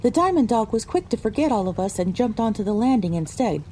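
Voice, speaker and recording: A woman's voice: fairly high pitch, sing-song, fast talker, warm tone, slight background noise. A woman narrating an audio drama in the first person as a frightened young female pony recounting her misadventure. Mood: dramatic recounting.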